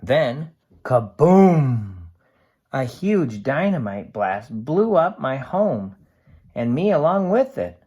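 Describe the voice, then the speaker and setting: Reader voicing an excitable, swooping little aluminum can. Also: mid-range voice, slow pace, husky, full character voice. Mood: excited, wistful. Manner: bubbly, wide-eyed, expressive storybook delivery. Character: cheerful, excitable aluminum can writing diary entries.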